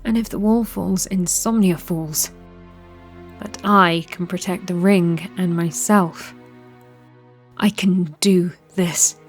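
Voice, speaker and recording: Low-voiced, resolute princess played by a woman. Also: fairly even intonation, faint music behind. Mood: determined. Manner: measured, formal, earnest. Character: resolute, war-weary young princess, secretive and commanding. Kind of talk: fantasy audio drama acting.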